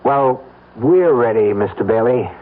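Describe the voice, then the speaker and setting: An actor playing a hostile, goading male conspirator in a 1970s radio drama, with a husky voice. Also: mid-range voice, animated, a little slow, noisy background, natural voice. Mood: menacing, insistent. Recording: narrow, lo-fi old radio.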